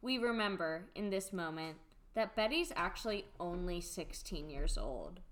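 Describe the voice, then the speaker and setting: Female narrator, lower register, reading screenplay scene directions for a radio drama. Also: sing-song, unhurried, a bit bright. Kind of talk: scripted narration of a radio play script.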